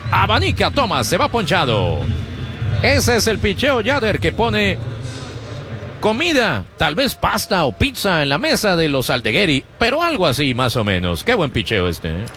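A man announcing a baseball game play by play for a radio broadcast, in a rhythmic, animated calling style. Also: high-pitched, normal speed, faint music behind. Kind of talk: Spanish-language baseball radio commentary.

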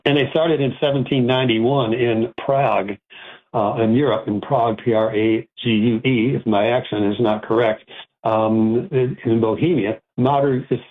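Chatty rambling radio host, tinny. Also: male voice, mid-range voice, fairly even intonation, moderate pace. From Rochester, New York. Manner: rambling, self-correcting, chatty. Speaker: radio show host, antiques auctioneer. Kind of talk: casual call-in radio talk.

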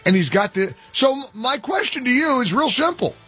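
A man's voice: very high voice, very animated, moderate pace, pushed and bright, husky, faint music behind. A man hosting a talk radio show, delivering an opinionated monologue and pressing his listeners with pointed questions. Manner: emphatic, rising to a pointed challenge to callers.

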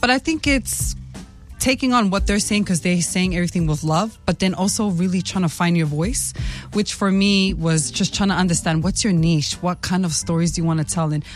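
A woman's voice: on the low side, brisk, soft background music. A woman with an American accent, who grew up speaking Tongan, chats easily as a guest in a radio studio interview. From Salt Lake City, Utah, USA. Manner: relaxed, chatty, laughs between answers. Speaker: guest singer.

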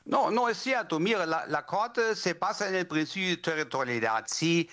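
A man with a fairly high voice and a German accent answers interview questions like an academic. He explains point by point in a second language, halting and repeating words as he looks for the right phrase. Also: animated, a bit bright. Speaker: legal academic, interview guest.

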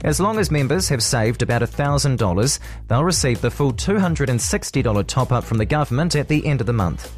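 A man's voice: mid-range voice, animated, fairly quick, faint music behind. A man with a New Zealand accent reading a scripted radio news bulletin. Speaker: radio newsreader.

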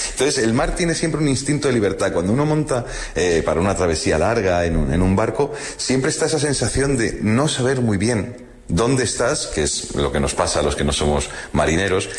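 A man from Madrid with a Madrid accent, musing in a lyrical, literary way and repeating words for emphasis in a reflective radio conversation. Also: low-pitched. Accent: Madrid Spanish. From Madrid, Spain. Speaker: guest commentator on literature.

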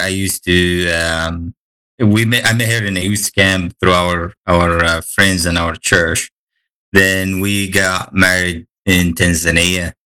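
A man's voice: sits low, sharp tone. A man with an Egyptian accent, a guest chatting casually with frequent fillers and easy laughs. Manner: hesitant, many fillers, laughs easily. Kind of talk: casual interview chat.

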